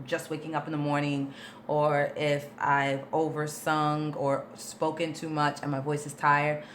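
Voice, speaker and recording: Low-voiced woman vocal coach, chatty. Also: level tone, measured pace, a little hiss or hum. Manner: chatty and informal, with many fillers; explains patiently, breaking things down step by step. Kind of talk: casual livestream Q&A, reading and answering viewer questions.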